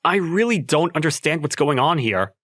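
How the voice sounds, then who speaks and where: A man playing an exasperated, awkward teenage schoolboy, telling his story in an expressive, incredulous manga dub style. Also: on the high side, moderate pace. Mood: flustered. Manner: expressive, incredulous, self-talking. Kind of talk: manga dub narration and dialogue. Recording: music behind the voice.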